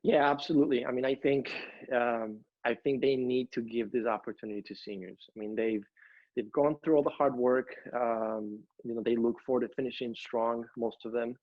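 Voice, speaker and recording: A young man from Ecuador talks earnestly from home, his sentences full of fillers and restarts. His delivery stays level and flat. The audio is dry and a little dull, like a home video call. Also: medium pitch, dry recording. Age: young adult. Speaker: college tennis coach. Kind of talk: interview over video call.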